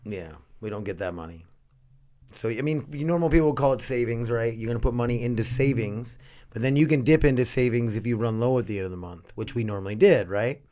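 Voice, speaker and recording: A man's voice: mid-range voice, a little fast, mellow. Rambling casual male podcast host. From North Dakota.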